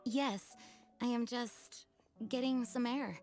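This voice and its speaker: A woman playing an earnest, gentle young knight commander who speaks formally in an anime game. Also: a little high, steady tone, slow pace. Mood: reflective, grateful. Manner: formal, sincere. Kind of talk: anime game dub acting. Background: soft music.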